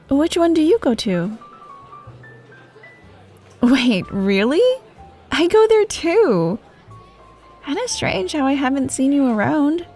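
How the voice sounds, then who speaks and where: A woman playing a friendly, teasing yet bashful popular student, speaking softly and casually to the listener in a wholesome ASMR roleplay. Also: high voice, heavily acted. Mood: friendly, curious. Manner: soft, casual, hesitant, giggly. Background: music, cafe ambience.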